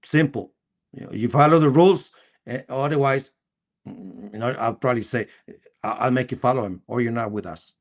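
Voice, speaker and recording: A man with a Costa Rican accent, a native Spanish speaker, hosting a live sports discussion in a halting, repetitive way with emphatic bursts. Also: mid-range voice. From Costa Rica. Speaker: sports talk show host.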